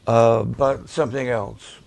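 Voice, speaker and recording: Male pastor, raspy and gravelly, unhurried, deliberate preaching delivery. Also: mid-range voice, fairly even intonation, a little mellow. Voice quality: raspy at times. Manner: slow, deliberate, with emphatic repetitions. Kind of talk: preaching and promoting ministry videos to a livestream audience.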